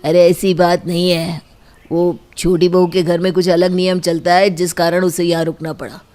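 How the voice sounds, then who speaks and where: Cartoon elder brother female actor, earnest family businessman, mild manner, lowish pitch. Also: little pitch movement, normal speed, music in the background, close to an everyday voice. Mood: earnest, surprised. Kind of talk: cartoon dialogue.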